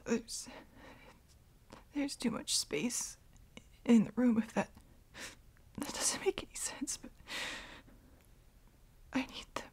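A woman's voice: fairly high pitch, lively intonation, a little mellow, full character voice. Woman acting a whispering, tearful insecure girlfriend. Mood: upset, evasive. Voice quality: breathy, whispery. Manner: halting, faltering, self-deprecating. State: crying, sniffly. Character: tearful, insecure young girlfriend seeking comfort. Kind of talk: ASMR girlfriend roleplay audio.

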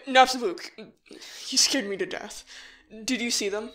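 A woman's voice: fairly high pitch, a little slow, a bit bright. A woman playing an earnest, take-charge human child in a fan audio drama, direct and practical. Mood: concerned.

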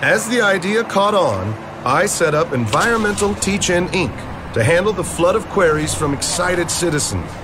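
A man's voice: high voice, big pitch swings, moderate pace, background noise. A man playing an earnest, determined middle-aged senator in a declamatory, emphatic, scripted comic-book dramatization. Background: music.

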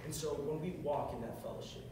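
Young man, earnest preacher, slightly high voice, boomy hall, far-off camera mic, room hiss. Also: a little fast. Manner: earnest, repeats phrases for emphasis. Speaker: short sermon speaker. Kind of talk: sermon. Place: large carpeted hall, on a stage at a music stand. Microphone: far camera across the room. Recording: echoey hall from far off, with noise.

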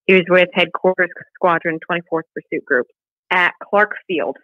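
A woman's voice: lowish voice, normal speed, no room sound. Female podcast guest, conversational storyteller, dry but muffled call-quality audio. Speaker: podcast guest, nonprofit director. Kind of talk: conversational interview, reading a family letter. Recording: muffled, band-limited remote call audio.

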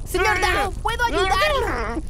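Excitable little boy panda for a kids' cartoon; earnest, tiny high voice, lively pitch. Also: slow pace, heavy background noise, full character voice. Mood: friendly, curious. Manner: exclamatory, earnest. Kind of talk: animated children's cartoon dub. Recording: loud music behind the voice.

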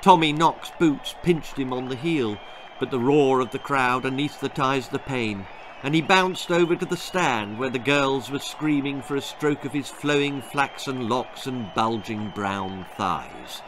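An older man reading his children's story aloud in an expressive, dramatic narrating style. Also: mid-range voice, measured pace, crowd noise. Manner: expressive, theatrical. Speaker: author reading own story. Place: small book-lined room indoors.

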